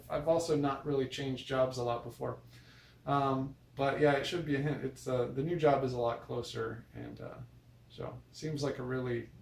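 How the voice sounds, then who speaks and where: American man rambling casually in a roomy space. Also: mid-range voice. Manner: hesitant, meandering, self-correcting. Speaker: video blogger. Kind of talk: casual rambling personal vlog. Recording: roomy, distant mic with noise.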